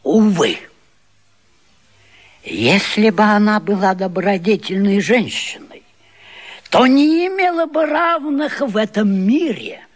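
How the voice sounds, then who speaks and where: An actor playing a proud, easily indignant padishah in a radio play, declaiming theatrically. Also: male voice, high voice, expressive, takes it slowly, pauses often, in a large hall. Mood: regretful. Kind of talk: radio vaudeville acting.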